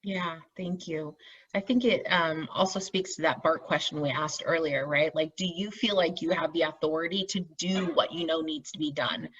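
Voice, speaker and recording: A woman with a forceful, bright edge and some rasp leads an online meeting in an informal, encouraging way. She explains step by step and checks in with her listeners. The audio is muffled and sounds away from the microphone. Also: deep voice, animated, moderate pace. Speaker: meeting facilitator, team lead. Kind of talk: informal presentation on a group call. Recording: dull, distant video-call audio.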